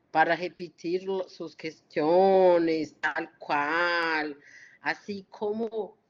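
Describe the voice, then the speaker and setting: Slow, halting woman speaking accented Spanish. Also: medium pitch. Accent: Porteño-influenced Spanish with Portuguese mix. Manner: halting, searching for words. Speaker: guest lecturer. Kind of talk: academic discussion after a lecture. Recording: dull, lo-fi online audio.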